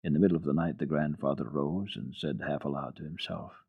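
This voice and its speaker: A man reading a children's story for radio in an expressive, deliberate, theatrical way. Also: extremely low pitch, gravelly, slightly rough, phone-quality sound.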